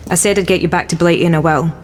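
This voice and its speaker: An actress playing a brisk, practical boat smuggler, in a game cutscene. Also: female voice, lowish voice, steady tone, close to an everyday voice. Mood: urgent.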